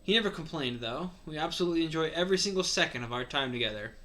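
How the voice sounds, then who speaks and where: A man's voice: on the high side, expressive, racing, bright, forceful tone. A man reading a story aloud as a co-host, slipping in casual asides.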